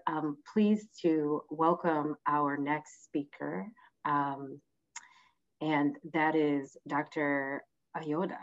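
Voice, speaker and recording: Female virtual-event moderator, lowish pitch, courteous and halting, muffled call audio. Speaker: host, moderator. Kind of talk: event hosting, introductions. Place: online video conference. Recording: dull video-call audio.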